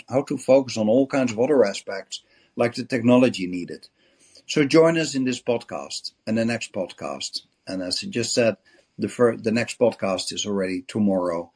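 A man hosts a podcast, speaking in a low voice with a husky edge. He sets out the topic and welcomes his guest in an earnest, measured way, now and then restarting a phrase. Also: darkish tone. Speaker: podcast host. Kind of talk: introducing a podcast episode and guest.

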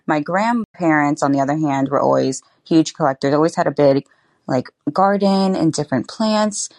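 A young woman chats as a podcast guest, her voice low for a woman. She talks in a bubbly, informal way, with lots of "like" and quick asides. The sound is dry and close to the mic. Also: darkish tone, dry recording. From Florida. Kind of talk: casual interview chat.

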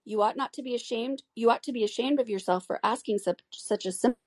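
A mournful, sighing male Mock Turtle from a storybook, as a woman reader puts him on. He speaks in a raised, plaintive voice that stretches words out slowly. The telling is loud and weepy throughout. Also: fairly high pitch, pitched above the performer's own voice, close to an everyday voice. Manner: weepy, plaintive, drawn-out delivery. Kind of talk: storybook reading.

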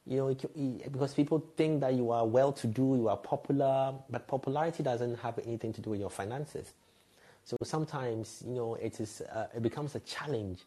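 A man's voice: mid-range voice, fairly quick, warm tone. A man, a radio and TV presenter telling frank, reflective stories as a podcast guest. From Ghana. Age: 30s. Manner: reflective, frank, laughs lightly at himself. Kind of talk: candid conversational storytelling.

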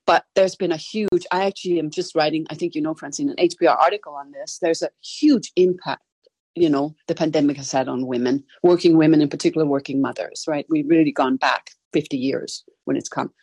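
Danish-accented woman, brisk and husky. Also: deep voice, fairly even intonation, darkish tone, no room sound. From Denmark. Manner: brisk, frequent "right?" tags. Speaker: gender equality consultant. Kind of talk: casual panel discussion.

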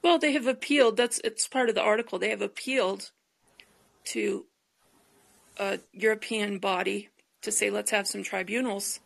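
A woman's voice: medium pitch, steady tone, moderate pace, gravelly. A woman hosting a live political call-in podcast, speaking in an earnest, insistent way. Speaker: podcast host. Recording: live call-in app audio.

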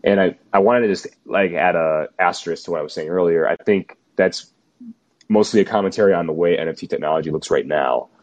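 Deep-voiced man, slightly hoarse. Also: brisk, a little mellow, highs cut off. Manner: skeptical, musing aloud. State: slightly losing his voice, sick. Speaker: podcast co-host. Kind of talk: casual chat.

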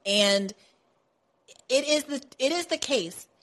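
A woman hosting a political talk podcast, animated and sarcastic, building into quick rants. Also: high-pitched. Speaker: podcast host.

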